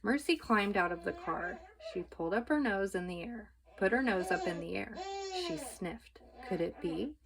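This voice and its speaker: A woman's voice: medium pitch, lively intonation, normal speed, creaky, noisy background. Woman reading a children's storybook aloud. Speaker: storytime reader. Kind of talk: children's book read-aloud narration.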